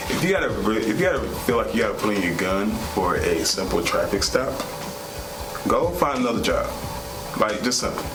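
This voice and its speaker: A man's voice: on the low side, very animated, a little fast, noisy background. A man co-hosting a vlog, giving candid, conversational commentary in an earnest, plain-spoken way. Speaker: vlog co-host. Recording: roomy echo, music underneath.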